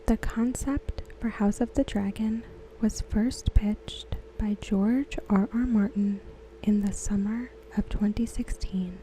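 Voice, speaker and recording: Very soft, mellow, slow ASMR woman. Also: medium pitch, fairly even intonation, slight background noise, poor audio. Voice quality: soft, breathy, near-whisper. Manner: gentle, unhurried, soothing. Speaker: ASMR host. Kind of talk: soft-spoken ASMR reading.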